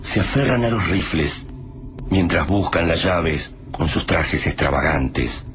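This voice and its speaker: An actor as the solemn, dramatic storyteller of a bleak apocalyptic radio drama, reading literary scripted narration. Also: male voice, deep voice, slow pace, background noise. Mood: ominous.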